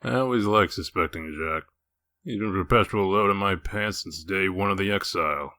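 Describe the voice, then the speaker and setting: Man acting a gruff wolf-man sleuth, deep, forceful, blunt, humorless, dry close sound, comic dub. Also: moderate pace, dry recording. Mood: brooding. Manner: curt, deadpan, stern.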